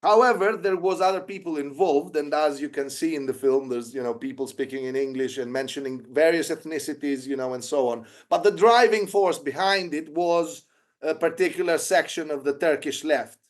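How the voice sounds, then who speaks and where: Man, Greek accent, slightly high pitch, halting, lots of fillers, interview guest. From Greece. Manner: hesitant, many fillers and restarts. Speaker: guest filmmaker. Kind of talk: interview answer, casual.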